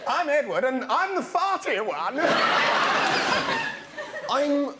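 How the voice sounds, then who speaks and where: An actor playing silly, oddball British princes who introduce themselves in a comic parody sketch. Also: male voice, very high voice, sing-song, slow pace, full character voice. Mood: silly earnest. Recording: noisy live stage with crowd.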